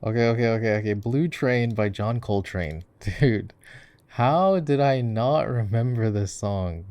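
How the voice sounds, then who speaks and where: A man's voice: mid-range voice, a little slow, darkish tone, no echo, close to an everyday voice. A man voicing an easygoing gamer boyfriend in a casual, chatty roleplay, rambling playfully and interrupting himself as he explains. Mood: amused, musing. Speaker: voice actor, roleplay performer. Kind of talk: casual ASMR roleplay, chatty gaming commentary.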